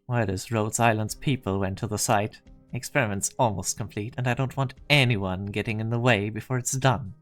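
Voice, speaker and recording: A man's voice: fairly low pitch, fairly even intonation, moderate pace, fairly bright tone, faint music behind, close to an everyday voice. A man playing a haughty, impatient research director in a game fan dub, coldly commanding and curt. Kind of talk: scripted anime game dub acting.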